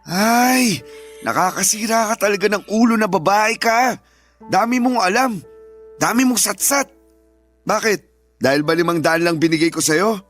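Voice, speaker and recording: Irritable, higher-voiced Filipino husband. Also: male voice, moderate pace, long pauses, a bit bright. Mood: irritated. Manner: exasperated, dismissive, defensive. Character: irritable, evasive, dismissive husband, tired working man. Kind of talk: Filipino radio drama acting. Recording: music playing behind the voice.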